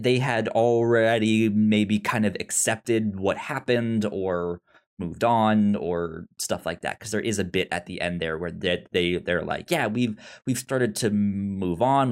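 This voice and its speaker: A podcast co-host chatting casually about film in a halting way, often repeating and stammering on words. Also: medium pitch.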